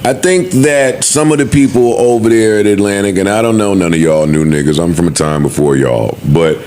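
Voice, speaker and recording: A man's voice: fairly low pitch, fairly quick, darkish tone, slight background noise. A man hosting a podcast, giving opinions casually and emphatically, repeating phrases to drive a point home. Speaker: podcast host.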